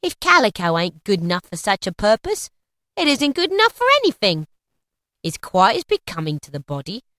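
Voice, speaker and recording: A woman voicing a gloating working-class woman thief in a storybook, callous and mocking, with rough, scornful, cackling speech. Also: medium pitch, huge pitch swings, normal speed, sharp tone, dry recording, clean studio sound. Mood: brazen, mocking. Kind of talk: storybook character acting.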